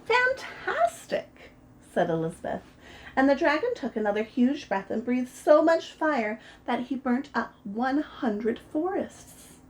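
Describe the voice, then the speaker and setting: Woman storyteller for preschoolers, gentle and expressive, lively sing-song pitch on a fairly high voice. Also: a little slow, poor audio. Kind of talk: reading a picture book aloud to children.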